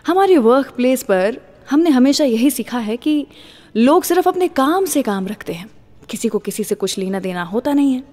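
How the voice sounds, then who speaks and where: A woman's voice: high voice, expressive, moderate pace, darkish tone. A woman with an Indian accent presenting a radio show and telling anecdotes as its host.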